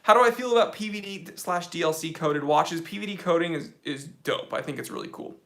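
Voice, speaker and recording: A man hosting a casual live-stream Q&A, reading chat questions aloud and answering in a relaxed, slangy way. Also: on the high side, a little fast, gravelly. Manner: laid-back, slangy, reads chat aloud.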